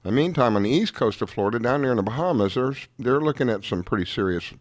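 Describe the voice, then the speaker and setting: A man hosting a talk radio show, hoarse after a late night, chatting in a rambling way with many restarts. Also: mid-range voice, lively intonation, fast, dry recording. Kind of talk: casual talk-radio chat.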